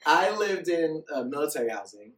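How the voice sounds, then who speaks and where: A man's voice: on the high side, sing-song, moderate pace, recorded from a distance, crowd noise. A man in his late thirties from the Philippines, a podcast guest chatting casually, rambling, restarting and laughing often. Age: 30s. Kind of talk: casual chat. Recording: roomy echo, off-mic, faint noise.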